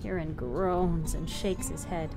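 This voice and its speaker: A woman's voice: low-pitched, fairly even intonation, slow. A woman narrating screenplay stage directions as scripted narration. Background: music.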